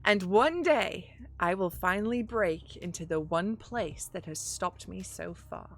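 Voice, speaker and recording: A woman's voice: lowish voice, animated, unhurried, faint music behind. A woman acting as game master on a stream, reading out rules and options with an upbeat, laughing manner. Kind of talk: running a tabletop game over a stream.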